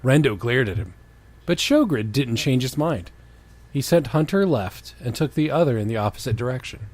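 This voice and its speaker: Husky, animated man reading aloud. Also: mid-range voice, normal speed, no room sound. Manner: teasing, casual. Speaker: reader on a comedy reading show. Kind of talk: informal dramatic reading of fan fiction with banter.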